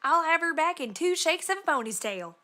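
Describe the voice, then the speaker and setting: A man's voice: extremely high pitch, very animated, brisk, sharp tone, pitched above the performer's own voice, full character voice. A man voicing a tough, blunt country mare who talks in a folksy drawl. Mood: impatient.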